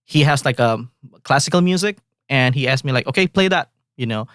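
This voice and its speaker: A man from Indonesia with an Indonesian accent, a composer guest talking in a halting, relaxed way with many fillers and restarts. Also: medium pitch, clean tone, no room sound, clean studio sound. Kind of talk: casual interview chat.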